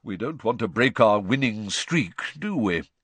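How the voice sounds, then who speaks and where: Guy as a posh-mannered gentleman ghost in an audiobook, prim, measured, bit fussy, very dry recording. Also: on the low side, big pitch swings, breathy edge, no echo, heavily acted. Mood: prim, hopeful. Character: genteel male ghost, prim and house-proud. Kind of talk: audiobook character voice.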